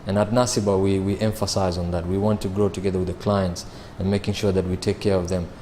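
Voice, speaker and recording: A man, answering interview questions about his sales job in a measured way, with frequent fillers and restarts. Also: low-pitched, flat delivery, mellow, slight background noise. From Kenya. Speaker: sales manager.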